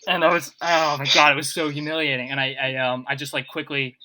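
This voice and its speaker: A man's voice: fairly high pitch, little pitch movement, fast, over a noisy crowd, poor audio. A man hosting a casual podcast, telling stories in a rambling way full of "like" and filler words. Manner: rambling, filler-heavy storytelling with laughs. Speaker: podcast host. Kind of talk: casual group podcast chat.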